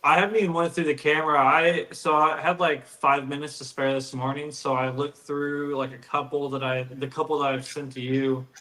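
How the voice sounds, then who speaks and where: A man's voice: a little high, level tone, normal speed, hardly any pauses, sharp tone, reverberant, slight background noise, poor audio. A man co-hosting a live stream in casual chat, easygoing and rambling, saying "dude" a lot and sounding tired.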